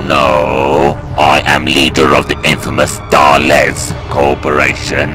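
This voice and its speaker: A man's voice: rumbling low, monotone, slow pace, vocal fry, pitched below the performer's own voice, full character voice. A man voicing a hostile, boastful robotic alien war machine in a fan audio drama, with clipped, menacing delivery. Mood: cold, menacing. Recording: music behind the voice.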